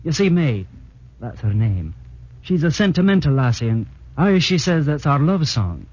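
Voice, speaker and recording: A sentimental young Scottish commando in an old radio play. His voice sits fairly high and moves up and down a lot. The sound is muffled, like a 1940s broadcast. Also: very animated, moderate pace, a little mellow, no room sound. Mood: lovesick, wistful.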